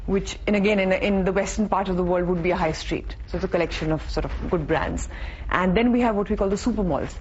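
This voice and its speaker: A woman with an Indian accent speaks as an executive in an interview, her voice a bit low. She explains in a considered way and repeats words for emphasis. The sound is slightly dull, with faint noise behind. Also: fast, a little mellow. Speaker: real estate executive being interviewed. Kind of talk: considered spoken interview answers.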